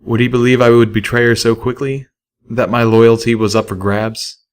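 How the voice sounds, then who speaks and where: A man's voice: medium pitch, little pitch movement, normal speed, clean tone. A man narrating a scripted first-person story as an audiobook reader.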